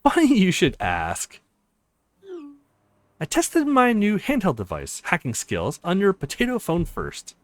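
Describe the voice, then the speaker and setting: A man's voice: high-pitched, wildly swooping pitch, unhurried, no room sound, voice pushed up, full character voice. A man voicing a nerdy, smug female hacker with wordy, mock-formal phrasing in a visual novel. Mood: smug, teasing.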